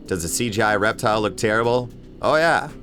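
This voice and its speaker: Low-voiced man giving opinionated video essay commentary. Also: animated, brisk, a bit bright. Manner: opinionated, emphatic, casual swearing. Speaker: video essay host and film critic. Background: music bed under voice-over.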